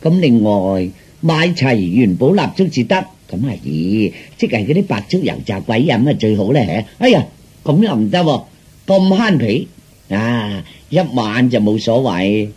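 A man with a Cantonese accent telling a folk story in a colloquial, animated way, acting out the dialogue with drawn-out particles. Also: mid-range voice, slightly rough.

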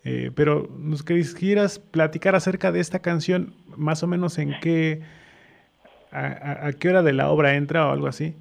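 A man's voice: fairly high pitch, fairly even intonation, normal speed, vocal fry. A man with a central Mexican accent hosting a radio interview and reading announcements, hesitating and repeating words. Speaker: radio host.

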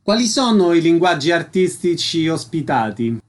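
A man's voice: fairly high pitch, very animated, normal speed. A man working as a radio interviewer, asking concise questions and making formal announcements.

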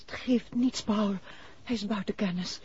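An actress playing a concerned, practical wife of a detective in a 1960s radio drama. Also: female voice, medium pitch, moderate pace, a little mellow, close to an everyday voice. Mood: worried. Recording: dull, noisy vintage radio recording.

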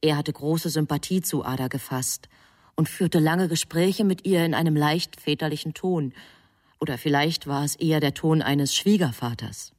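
A woman playing a composed, superior angel who narrates a divine plan in a radio play, with measured, wryly formal literary storytelling. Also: deep voice, darkish tone. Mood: calm narration.

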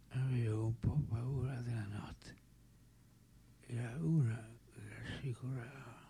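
An actor playing an elderly French philosopher in a radio drama, reflective and unrepentant, speaking in a measured way that often drops toward a breathy whisper. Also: male voice, medium pitch, dark tone, a little hiss or hum. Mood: nostalgic.

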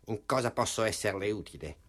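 A man's voice: on the low side, lively intonation, brisk, fairly bright tone. An actor playing a pompous, self-important male doctor in a radio drama, speaking in a formal, wordy way. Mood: apologetic, florid. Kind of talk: Italian radio drama acting.

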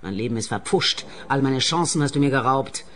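An actress playing a bitter, grasping adult daughter in a radio play, with a cutting, indignant, dramatic delivery. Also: female voice, rumbling low, animated, a little fast, fairly bright tone. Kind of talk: radio comedy-crime acting.

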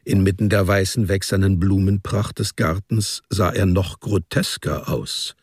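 Male radio drama narrator: lowish, creaky, husky voice, deliberate literary delivery. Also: fairly even intonation, no echo, studio quality.

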